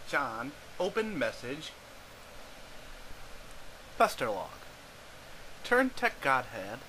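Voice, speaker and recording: Man narrating over clear background noise. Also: mid-range voice, bright, forceful tone. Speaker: amateur narrator of a webcomic reading. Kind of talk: dramatized scripted narration. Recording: clearly noisy amateur home recording.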